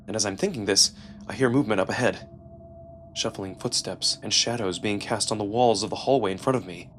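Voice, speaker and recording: A man narrating a first-person story dramatically, with expressive, deliberate pauses. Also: fairly low pitch, a little hiss or hum. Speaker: narrator and performer. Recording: music behind the voice. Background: music.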